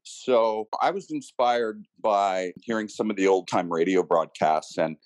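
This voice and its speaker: A man talking casually as an interview guest, in a hesitant, halting manner. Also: on the low side, a little mellow, no room sound. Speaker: company team member being interviewed.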